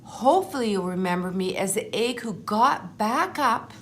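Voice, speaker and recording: A woman voicing a humble, anxious but determined egg telling his life story in a storybook, in an earnest, measured way. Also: medium pitch, expressive, fairly bright tone, slight background noise, natural voice. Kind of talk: storybook first-person narration.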